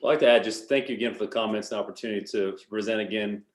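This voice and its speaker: A man's voice: fairly low pitch, fairly even intonation, very fast. A man presenting at a virtual meeting, agreeable and deferential, in informal business speech. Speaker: project presenter. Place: virtual meeting call, echoey room. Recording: echoey room on call.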